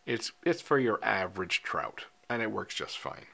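Older man in his 60s, low-pitched, casual chatty vlogger who talks to camera with plenty of filler words. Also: lively intonation, normal speed, vocal fry, bright, forceful tone. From Canada. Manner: chatty, frequent ums and uhs, gestures with hands. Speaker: fly fishing vlogger. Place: indoor studio, seated in front of a screen.

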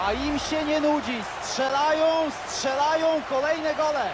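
Excitable man calling football play-by-play. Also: extremely high pitch, a little slow, very noisy. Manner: excitable, erupts on goals. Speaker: play-by-play commentator. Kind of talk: scripted football video game commentary. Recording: loud stadium crowd behind.

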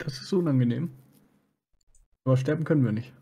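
Husky, mellow man chatting over gameplay. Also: mid-range voice, fairly quick. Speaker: co-commentator on a gaming stream. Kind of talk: casual chat over gameplay.

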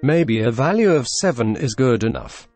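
A young man with a Russian accent narrating a software tutorial in a deadpan, dryly absurd scripted voice-over. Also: mid-range voice, moderate pace, faint music behind. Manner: deadpan, dry absurd humor.

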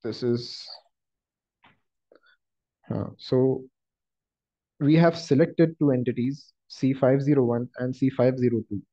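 A man narrates a software lesson in a dull, lo-fi recording. His voice sits at an average pitch. He explains each step in a halting, instructive way, as a trainer sharing his screen. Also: steady tone. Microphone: screen-recording voice-over.

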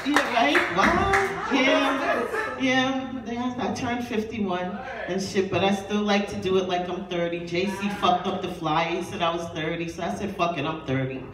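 A brash woman in her fifties tells raunchy, profane stories and keeps tossing asides to the crowd. She is recorded from far back in a roomy little club. Loud crowd noise sits behind her voice. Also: medium pitch, moderate pace. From New York. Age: 50s. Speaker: stand-up comedian. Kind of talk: raunchy stand-up set. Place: small dim club with a low stage. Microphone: handheld mic, filmed by a far camera in the crowd.

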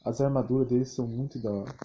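A man's voice: mid-range voice, steady tone, rapid-fire, warm tone. A man with a Brazilian accent giving casual, off-the-cuff gaming commentary in a slangy, rambling, repetitive way. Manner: slangy, rambling, repeats himself. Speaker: gaming YouTuber. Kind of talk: casual off-the-cuff commentary on fan game images and videos. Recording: muffled, noisy phone recording with clicks.

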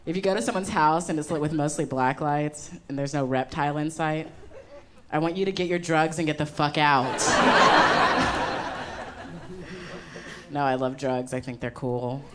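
A young woman with a low voice performs stand-up on a club stage, speaking into a handheld mic in a loose, chatty way peppered with ums. Loud bursts of audience laughter fill the live recording. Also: fairly even intonation, measured pace, long pauses, background noise. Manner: conversational punchlines with frequent ums and laughs. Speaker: stand-up comedian. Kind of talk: stand-up comedy set. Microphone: handheld microphone.

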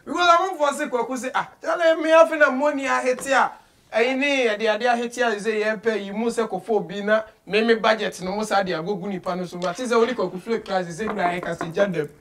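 High-pitched Ghanaian man hosting an interview. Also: brisk, fairly bright tone. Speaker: radio show host. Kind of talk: casual interview, switching between Twi and English. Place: small studio office, indoors.

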